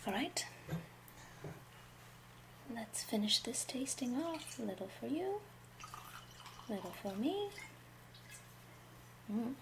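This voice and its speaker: A genteel witch, acted by a woman in an intimate ASMR roleplay. Her voice is breathy and half-whispered, fairly high with a crackle of fry, and she confides very slowly and gently. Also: very animated, exaggerated voice. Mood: intimate, confiding. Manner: slow, gentle, unhurried, confiding. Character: genteel, intimate love-potion witch tasting wine. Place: small home room, indoors.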